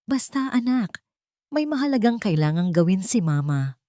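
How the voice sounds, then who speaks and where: A woman narrator voicing a tender young mother in a radio drama, gentle and reassuring. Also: medium pitch, big pitch swings, normal speed, dry recording, studio quality, voice pushed up, close to an everyday voice. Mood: tender reassurance. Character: tender young mother soothing her child.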